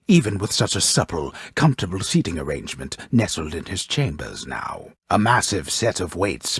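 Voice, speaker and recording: An actor playing a wry, grandiose old storyteller in a video game, narrating Greek myth gravely and ornately with dry mockery, his voice gravelly. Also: no room sound, heavily acted. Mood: grandiose, dryly mocking. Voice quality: very deep, gravelly, husky voice. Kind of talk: theatrical scripted game narration.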